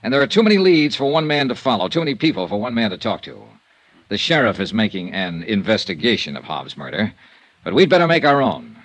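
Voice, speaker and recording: An American actor playing a tough, shrewd insurance investigator in a 1950s radio drama, brisk and commanding. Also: male voice, on the low side, big pitch swings, fairly bright tone, rough recording. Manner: brisk, commanding, clipped delivery. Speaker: lead actor and narrator. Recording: vintage radio recording with faint noise.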